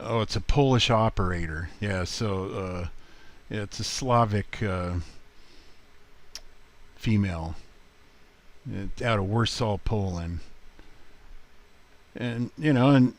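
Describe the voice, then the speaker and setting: A man giving a casual, rambling walkthrough as a hobby video host, halting often as he thinks aloud. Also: fairly low pitch. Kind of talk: casual screen walkthrough commentary.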